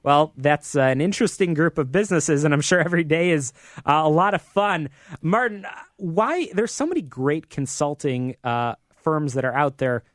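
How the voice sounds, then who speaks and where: A man's voice: a little high, lively intonation, normal speed, no room sound. An American man hosting a live business talk radio show from a studio in an upbeat, promotional manner.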